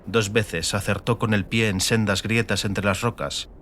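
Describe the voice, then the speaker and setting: A man's voice: fairly low pitch, level tone, measured pace, fairly bright tone, a little hiss or hum. A man reading scripted, dramatic fantasy narration as an audiobook narrator.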